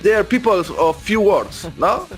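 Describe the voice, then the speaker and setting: A man's voice: high voice, animated, unhurried, faint music behind. A man with an Argentine accent presenting a radio show, emphatic and piling up repeated phrases. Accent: Argentine Spanish. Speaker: radio host.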